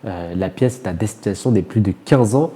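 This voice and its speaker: Very mellow, lowish-voiced French male interviewer. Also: fairly quick. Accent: French from France. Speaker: radio interviewer. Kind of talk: prepared interview questions.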